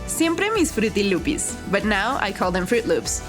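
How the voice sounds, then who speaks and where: A woman's voice: medium pitch, sing-song, normal speed, music in the background. A woman giving a scripted, conversational bilingual testimonial for an advertisement.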